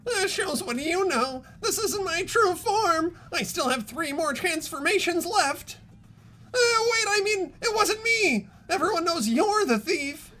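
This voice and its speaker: A woman's voice: very high-pitched, expressive, unhurried, sharp tone, pitched above the performer's own voice, exaggerated voice. A woman voicing a pompous, whiny, melodramatic geeky male student in an amateur game dub. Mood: whiny, accusing. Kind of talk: amateur fully voiced game playthrough.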